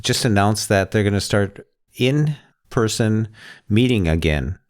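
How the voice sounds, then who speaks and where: Low-pitched American man chatting casually. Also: animated, unhurried. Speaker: podcast host. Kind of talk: casual podcast chat.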